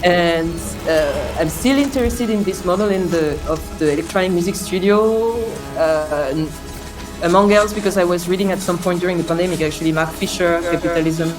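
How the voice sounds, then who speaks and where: French-accented woman musing over soft music. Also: on the low side, brisk, rough recording. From France. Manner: hesitant, thoughtful, many fillers and restarts. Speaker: interview guest, sound artist. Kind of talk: reflective conversational interview.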